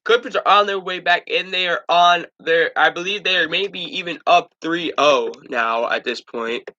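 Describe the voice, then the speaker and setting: A man's voice: sits high, normal speed, sharp tone, dull audio. A young man hosting a casual sports talk stream, stuffed up from allergies, rambling with stumbles and restarts.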